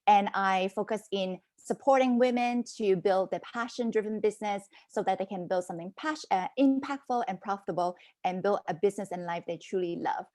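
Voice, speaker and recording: A woman in her forties, a business mentor raised in Hong Kong and Canada, telling her life story as a podcast guest in an animated, conversational way. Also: medium pitch, fairly quick, few pauses. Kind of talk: casual interview storytelling.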